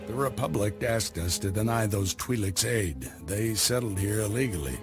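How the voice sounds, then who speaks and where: A man voicing a calm, wry Jedi master who gives guidance and orders to his apprentice, in a studio video game cutscene. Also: lowish voice, moderate pace. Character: wry, patient older Jedi master and mentor. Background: orchestral game music.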